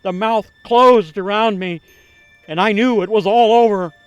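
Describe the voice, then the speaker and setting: An actor playing a haunted gingerbread cookie who tells a grim cautionary tale in a suspenseful, theatrical radio monologue with deliberate pauses. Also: male voice, sits high, smooth, dry recording, highs cut off, faint music behind. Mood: rising terror. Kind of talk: dramatic monologue for radio storytelling.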